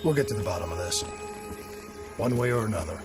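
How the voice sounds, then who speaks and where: An actor playing a steady, probing special forces soldier in a video game, serious and measured. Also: male voice, lowish voice, lightly acted. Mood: resolute. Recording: game music behind the voice.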